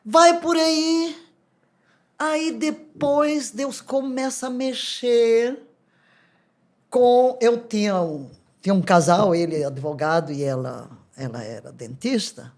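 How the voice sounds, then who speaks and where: An older woman from Brazil with a Brazilian Portuguese accent, a pastor telling her life story as a podcast guest, lively and laughing often. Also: fairly high pitch, slow. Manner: animated, laughs often, acts out quoted dialogue. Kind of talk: testimony storytelling.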